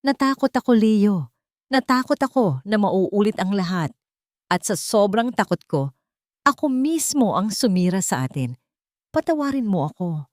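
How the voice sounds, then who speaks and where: Woman acting a remorseful, pleading Filipino woman, mellow-voiced. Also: medium pitch, sing-song, a little slow, dry recording, clean studio sound, pitched above the performer's own voice. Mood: tearful regret. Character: remorseful, frightened older woman pleading for forgiveness.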